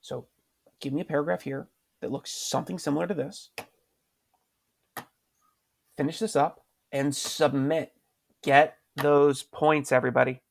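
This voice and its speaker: A man's voice: fairly high pitch, steady tone, unhurried, creaky, pauses often. A male teacher recording a lesson at his computer, talking patiently and repeating questions to prompt his students. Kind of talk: screen-recorded writing lesson.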